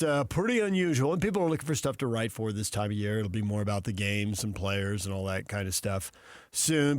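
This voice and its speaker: A man's voice: mid-range voice, no echo, clean studio sound. A man with an American accent hosting a live sports talk radio show.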